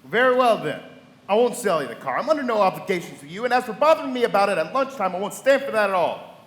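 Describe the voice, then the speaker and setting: An actor playing an arrogant, suspicious, wealthy husband who blusters and sneers in a live radio play. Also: male voice, high voice, animated, quick pace, a bit bright, in a large hall. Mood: indignant, curt.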